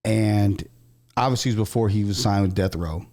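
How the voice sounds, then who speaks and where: A man's voice: on the low side, brisk, mellow. An American man from Southern California hosting a podcast, telling personal stories in casual, slangy conversation. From Southern California, USA. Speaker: podcast host. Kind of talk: casual storytelling chat.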